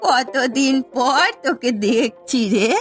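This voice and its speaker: Woman acting a slow-talking, high-voiced old village grandmother. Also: fairly even intonation, soft background music. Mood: fond, fussing. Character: affectionate, trusting old village grandmother, scolding fondly. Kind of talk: Bengali cartoon voice acting.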